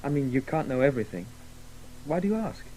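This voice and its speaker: An actor playing an earnest, bookish young son in a radio drama, tentative and rambling. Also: male voice, medium pitch, very animated, fast, dark tone, slight background noise, natural voice, rough recording. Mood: earnest, musing. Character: earnest, bookish, dreamy son with a crazy scheme. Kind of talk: 1980s radio drama acting.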